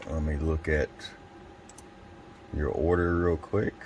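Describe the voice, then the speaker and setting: A man giving a casual, step-by-step hands-on product review in a plain-spoken, folksy way, pausing between short phrases. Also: rumbling low, steady tone, darkish tone, a little hiss or hum. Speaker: radio seller demonstrating a product.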